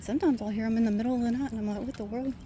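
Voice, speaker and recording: A soft-spoken woman with a quiet voice, chatting casually to her viewers while walking outdoors in a park. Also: on the high side, steady tone, very fast, slight background noise. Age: adult with a son. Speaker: vlogger. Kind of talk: casual walking vlog chat.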